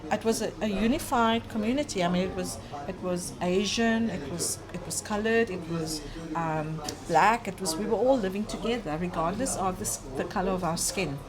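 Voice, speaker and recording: A middle-aged South African woman from Cape Town, a guest speaking earnestly and thoughtfully, with pauses and fillers. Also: medium pitch, a crowd in the background. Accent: South African English. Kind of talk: conversational interview.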